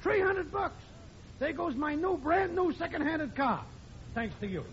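A man's voice: very high-pitched, expressive, a little slow. A man playing a sour, henpecked working-class neighbour in 1950s radio comedy style. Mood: grumbling. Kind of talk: 1950s radio sitcom acting. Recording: muffled, very noisy old radio broadcast.

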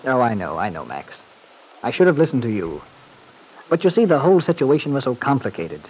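An actor playing a wry, urbane, harried gentleman who speaks hesitantly in an old-time radio serial. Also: medium pitch, big pitch swings, a little fast, slightly rough. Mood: rueful, reflective. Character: wry, harried, well-off gentleman confiding in his manservant. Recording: faintly noisy old radio recording.

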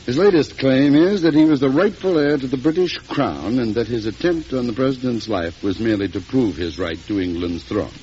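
A man's voice: medium pitch, brisk, few pauses, warm tone, lightly acted. A man playing a loyal, blunt political adviser in an old-time radio drama, earnest, formal and emphatic. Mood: dry, measured. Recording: hissy, muffled vintage radio recording.

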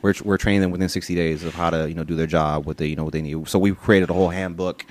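A young man chatting casually as a podcast guest, laid-back and slangy, trailing off quieter as he explains things. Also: sits low, fairly even intonation, rapid-fire, slight background noise. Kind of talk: casual chat. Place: home kitchen.

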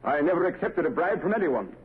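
Lively, defiant accused senator. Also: male voice, a little high, quick pace, phone-quality sound. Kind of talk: 1930s radio acting. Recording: thin, noisy 1930s radio recording.

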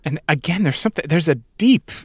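A man speaks in a somewhat high voice, recorded close and dry. He is a podcast interviewer, talking in an earnest, reflective way as he thinks through his ideas aloud. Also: expressive, fast talker, darkish tone, slightly rough, no echo. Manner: earnest, thoughtful, musing.

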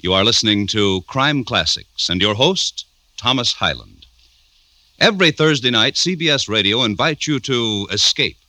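A man's voice: mid-range voice, animated, normal speed, sharp tone. A man announcing in polished, emphatic, formal 1950s radio style, reading a scripted promo. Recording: vintage radio recording.

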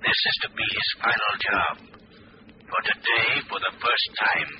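An actor playing a mysterious, taunting avenger with a hushed, menacing delivery in 1940s radio style. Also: male voice, on the low side, steady tone, normal speed, close to an everyday voice. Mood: sinister, knowing. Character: mysterious unseen avenger, sinister, taunting, commanding. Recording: muffled, noisy old radio broadcast.

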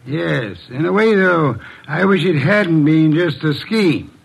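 An actor playing a shrewd, self-satisfied detective boss in a 1950s radio drama, speaking in a measured, articulate, dry manner. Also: male voice, a little high, lively intonation, creaky, slightly rough, full character voice, low-quality recording. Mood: wistful, dry.

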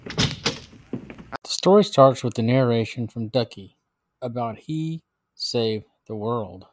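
A man's voice: medium pitch, dry recording. A man hosting a podcast, narrating a scripted review.

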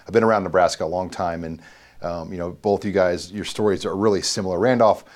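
A man with a deep, low voice hosts a sports interview. He asks friendly questions that wander and loop back on themselves. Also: fairly even intonation, fast, darkish tone. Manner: friendly, rambling questions with fillers. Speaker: sports reporter and host.

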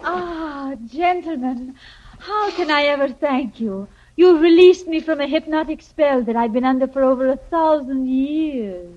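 An actress playing a beautiful, ancient, seductive vampire, flirtatious and theatrical, in a 1940s radio comedy. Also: female voice, high-pitched, measured pace. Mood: grateful, sweet. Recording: dull vintage radio recording.

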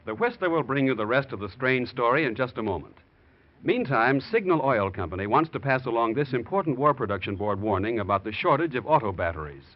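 A man's voice: medium pitch, very animated, a little fast. A man with an American accent announcing from a script in polished, emphatic 1940s radio style. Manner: emphatic, polished sales delivery. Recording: muffled 1940s radio recording.

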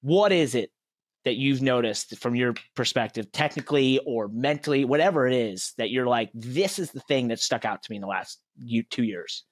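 A man's voice: medium pitch, moderate pace, dry recording, clean studio sound. A man co-hosting a podcast, asking long rambling questions in a chatty way. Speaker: podcast co-host. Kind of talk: casual podcast chat.

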